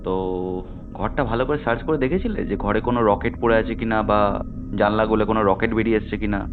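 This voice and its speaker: A man's voice: fairly low pitch, fairly even intonation, a little fast. A man with a West Bengali accent, questioning a caller as host in a probing, matter-of-fact way. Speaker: podcast host investigating ghost claims. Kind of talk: conversational questioning on a call. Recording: narrow phone-like sound, clear background noise. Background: background noise.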